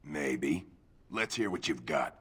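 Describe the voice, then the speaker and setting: A man playing a gruff, self-interested veteran fighter in a video game, blunt and sardonic. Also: very deep voice, lively intonation, slow, fairly bright tone, full character voice. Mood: grudging.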